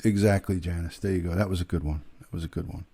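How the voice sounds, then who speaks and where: A man, a retired detective, giving emphatic, halting live-stream commentary to his viewers. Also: low-pitched, fairly even intonation, warm tone, slightly rough.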